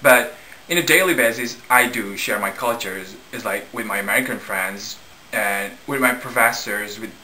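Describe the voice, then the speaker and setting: A man, an international college student, giving a casual, somewhat halting interview testimonial. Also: on the low side, bright, forceful tone, slight background noise, rough recording.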